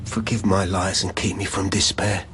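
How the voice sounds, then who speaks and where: A man's voice: low voice, little pitch movement, moderate pace, fairly bright tone, a little hiss or hum. An actor playing a weary, dogged labourer who sleeps in his van, in a rhythmic, confessional verse monologue for radio drama. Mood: bleak. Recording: music behind the voice.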